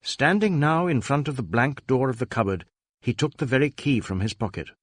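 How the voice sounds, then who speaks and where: A man reading a story as a scripted audiobook narrator, in an expressive storytelling manner. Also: mid-range voice, a little fast.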